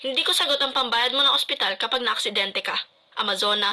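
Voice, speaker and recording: Sharp, high-voiced scolding Filipina mother, acted by a woman. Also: quick pace. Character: stern, grumpy, scolding middle-aged mother. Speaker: student radio drama actor. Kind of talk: amateur radio drama acting.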